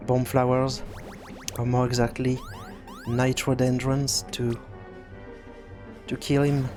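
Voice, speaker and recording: A man hosting a casual screencast programming tutorial, thinking aloud in a halting way and often repeating words as he goes. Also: mid-range voice. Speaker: tutorial host and game developer.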